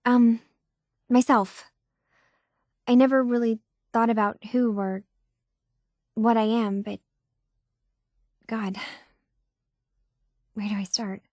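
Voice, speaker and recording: A woman's voice: on the high side, little pitch movement, takes it slowly, pauses often, darkish tone, dry recording. Earnest, troubled young woman, close and dry. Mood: hesitant, troubled. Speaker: video game voice actor. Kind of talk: game dialogue voice acting.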